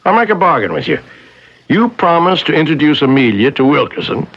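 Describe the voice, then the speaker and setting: An actor playing a scheming older professor uncle in an old-time radio drama, smooth, theatrical and persuasive. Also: male voice, a little high, sing-song, brisk, a bit bright, heavily acted. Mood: coolly insistent. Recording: dull old radio broadcast recording.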